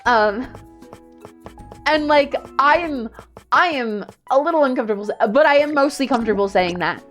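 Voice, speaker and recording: A woman's voice: sits high, animated, normal speed, a bit bright, soft background music. A woman livestreamer chatting casually while she plays a video game, rambling and self-deprecating. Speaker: streamer, VTuber.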